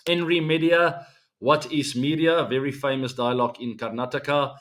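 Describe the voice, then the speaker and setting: A man's voice: mid-range voice, moderate pace. A man in his forties with an Afrikaans-accented South African English voice, hosting a casual sports show and chatting to his viewers with lots of fillers. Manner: relaxed, chatty, many fillers, addresses fans as guys. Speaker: show host.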